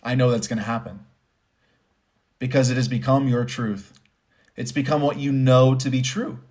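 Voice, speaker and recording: A man giving conversational motivational commentary with personal stories, stressing points by repeating phrases. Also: mid-range voice. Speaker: host and commentator.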